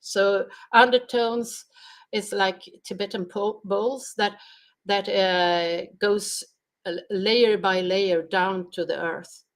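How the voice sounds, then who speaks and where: A woman guest explaining sound healing in a slow, halting way, searching for her words. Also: mid-range voice, fairly even intonation. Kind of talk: conversational interview answers.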